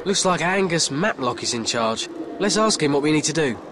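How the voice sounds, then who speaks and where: A young actor playing a casual, slightly grumbling British teenage sidekick in a video game. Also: male voice, on the high side, animated, moderate pace, noisy background, close to an everyday voice. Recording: loud music behind game dialogue.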